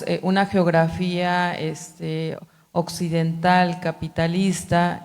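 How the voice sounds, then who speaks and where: A woman's voice: fairly low pitch, a little mellow. A woman in the audience asking a long academic question, hesitant and searching for words. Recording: long hall reverb.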